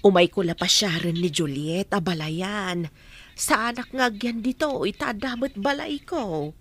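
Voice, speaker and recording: A woman acting a kindly middle-aged mother in a radio drama, speaking in a gentle, homely way. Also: mid-range voice, unhurried. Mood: polite, pleading. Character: kindly, devoted mother visiting her married daughter.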